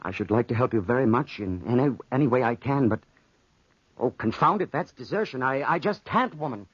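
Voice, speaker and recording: An actor playing an earnest, smitten young French soldier, hesitant, in 1940s radio acting style. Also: male voice, medium pitch, animated, brisk, slightly rough, dry recording. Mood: hesitant, torn. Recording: noisy, lo-fi vintage radio recording.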